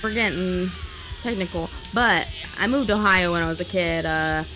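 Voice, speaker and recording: A woman's voice: on the low side, moderate pace, over music, low-quality recording. A young woman from New Orleans, a podcast guest, chatting casually and telling stories with lots of laughs and swearing. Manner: laughing, swearing freely, animated storytelling. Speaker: podcast guest, singer-songwriter. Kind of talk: casual chat.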